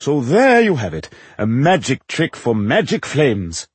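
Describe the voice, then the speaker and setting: A man's voice: mid-range voice, huge pitch swings, a little slow, husky, dry recording, exaggerated voice. Man putting on a pompous, swooping theatrical aristocrat. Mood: proud, showy. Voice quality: exaggeratedly aristocratic delivery. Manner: grandiloquent, wordy, showy. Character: pompous, theatrical aristocratic male actor, flamboyant showman.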